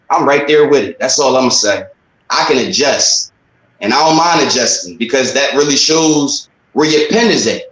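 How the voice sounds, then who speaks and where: A man's voice: medium pitch, fairly bright tone. Man in his thirties, echoey sound. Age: 30s. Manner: slangy, plain-spoken. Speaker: interview guest, music artist. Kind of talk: casual chat.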